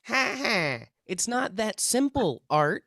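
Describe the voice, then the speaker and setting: A man's voice: high voice, sing-song, takes it slowly, pushed and bright, no echo, clean studio sound, full character voice. A man playing an intense, earnest young amateur paranormal investigator in a script read, self-important and conspiratorial. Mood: insistent, solemn. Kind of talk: table read of a TV pilot script.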